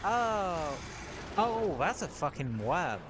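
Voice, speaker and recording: A man's voice: fairly high pitch, sing-song, slow. A male game streamer giving casual gameplay commentary, joking and swearing offhandedly. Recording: noisy stream audio.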